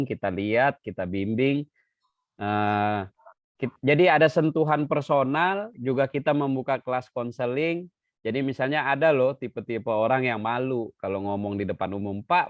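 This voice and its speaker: A man giving an online seminar talk as a trainer, lively and joking, sometimes acting out quoted dialogue. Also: mid-range voice, dry recording. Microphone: video call. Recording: dry, dull lo-fi video call audio.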